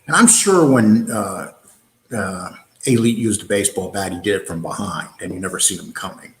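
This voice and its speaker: A man's voice: low voice, moderate pace, echoey room. A man with a New York accent hosting a live online show, answering viewer comments in a blunt, combative way, with many fillers and restarts.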